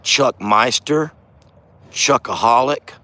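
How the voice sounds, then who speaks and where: A middle-aged man who has lost his voice and talks hoarsely. He chats in a folksy way while filming on his farm, speaking softly to his bull. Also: medium pitch, slow. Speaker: farm vlogger, channel host. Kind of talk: casual outdoor vlog. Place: outdoors on a farm by a bull pen. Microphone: handheld phone camera.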